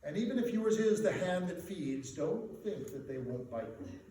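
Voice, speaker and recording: A man delivers comic verse as a stage storyteller, heard from a distance in a very reverberant room with faint noise. His pitch swings widely as he moves through measured, theatrical phrases and emphatic swells. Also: very animated, dark tone. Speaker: storyteller performing for an audience. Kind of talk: dramatic recitation of comic rhyming verse. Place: live performance before a laughing audience in a reverberant room.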